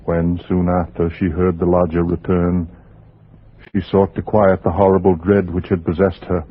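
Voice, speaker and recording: An actor narrating a 1940s radio suspense drama in a measured, dramatic way, with weighty pauses. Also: male voice, low-pitched, little pitch movement. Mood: tense, brooding. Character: grave male narrator of a suspense tale. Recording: muffled 1940s radio recording.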